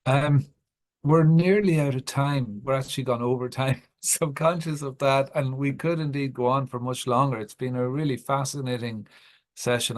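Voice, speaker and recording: A man chairing an academic event, giving courteous, appreciative closing remarks with halting pauses. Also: mid-range voice. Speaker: panel chair.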